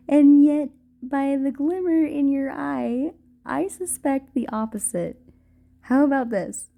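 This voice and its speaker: A woman playing a gentle, playful ghost girlfriend in an intimate romantic audio roleplay, speaking softly and formally with halting hesitations. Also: sits high, gravelly, exaggerated voice. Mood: teasing, curious. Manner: soft, hesitant, formal wording with halting stammers. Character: gentle playful ghost girlfriend, shy, tender, old-fashioned speech.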